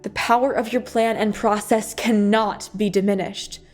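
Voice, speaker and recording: Female spoken-word reader for a church monologue: measured, dramatic phrasing, medium pitch, music underneath. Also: steady tone, a little mellow. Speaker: church worship speaker. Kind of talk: scripted spoken-word monologue, rhymed devotional poetry. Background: music behind the voice.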